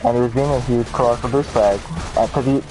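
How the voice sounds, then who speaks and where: A man's voice: medium pitch, fairly even intonation, quick pace, poor audio. A man giving casual, rambling, self-deprecating commentary while playing a video game. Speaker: let's play gamer.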